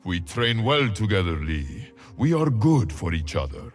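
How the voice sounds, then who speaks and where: An actor playing a solemn, resolute warrior shaman in a video game, with a gravelly voice and weighty, deliberate delivery. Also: fairly low pitch, sing-song, soft background music. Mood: calm, solemn. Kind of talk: video game character acting.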